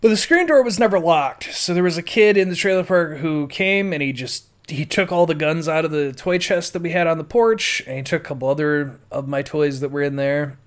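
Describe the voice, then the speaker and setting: An American man with a moderately high voice tells stories from his own life. His delivery is casual and rambling, with loose, blunt swearing, in the manner of a podcast host talking off the cuff. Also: fairly quick, hardly any pauses. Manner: rambling, foul-mouthed. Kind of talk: casual autobiographical storytelling.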